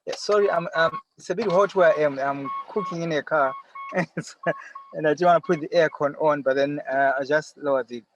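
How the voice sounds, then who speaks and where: A middle-aged man with a Zimbabwean accent answering interview questions conversationally from inside a car, often pausing and rephrasing. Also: a little high, muffled recording, slight background noise. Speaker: interview guest, farmer. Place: sitting in a parked car.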